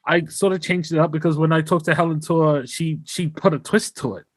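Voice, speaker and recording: A man's voice: on the high side, steady tone, a little fast, dry recording. A man with a New Zealand accent hosting a casual podcast interview, speaking in an effusive, appreciative way.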